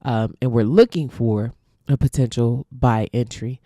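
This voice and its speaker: A woman's voice: a bass voice, unhurried, dark tone, no echo, clean studio sound. A congested woman teaching forex trading, walking through a chart casually and thinking aloud with ums and restarts.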